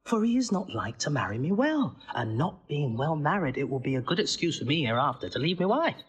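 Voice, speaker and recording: A playful, bawdy court jester with a husky, slightly high voice. His pitch leaps and dips as he mocks and jokes. He plays it broad and theatrical, like a stage clown. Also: big pitch swings, brisk, exaggerated voice. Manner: mocking, theatrical, wide swings in pitch. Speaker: actor. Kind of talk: stage-play acting.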